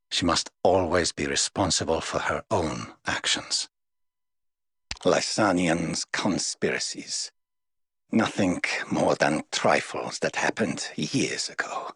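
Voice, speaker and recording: A tired aloof knight, really low husky voice, dry booth sound. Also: male voice, a bit bright, no room sound, exaggerated voice. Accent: English. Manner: dry, world-weary, measured. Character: weary aloof middle-aged male knight. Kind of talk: game voice lines.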